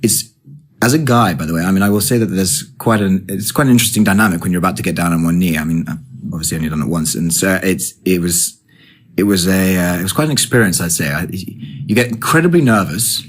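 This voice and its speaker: A young man from London with a London accent and a low, husky voice. He rambles through his story, restarting and correcting himself. When it gets exciting, he becomes animated. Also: fast talker. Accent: London English. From England. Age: 20s. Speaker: guest. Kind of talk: casual conversation.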